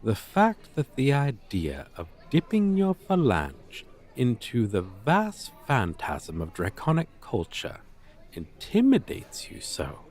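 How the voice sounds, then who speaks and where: A man's voice: mid-range voice, huge pitch swings, slow, a little mellow, full character voice. A man playing a pompous, flirtatious dragon teacher in an ASMR roleplay, speaking in florid, formal, condescending phrases. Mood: smug, haughty. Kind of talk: ASMR roleplay, slow theatrical delivery.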